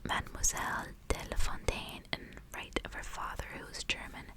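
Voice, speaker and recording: A woman reading aloud in a soft, breathy, hushed whisper, in an intimate ASMR style. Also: fairly quick. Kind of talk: whispered ASMR audiobook reading with casual asides.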